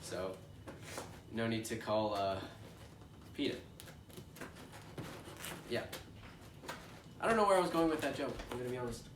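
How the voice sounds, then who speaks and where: A young man with a stuffy, congested voice talks casually while opening a toy box, wandering off track and filling gaps with ums and uhs. He sounds some distance from the mic in a roomy space, with steady background noise underneath. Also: medium pitch, unhurried, pauses often. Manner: rambling and chatty with frequent fillers. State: congested from allergies. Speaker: toy unboxing host. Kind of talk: casual unboxing chat. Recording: echoey room, distant mic, noisy background.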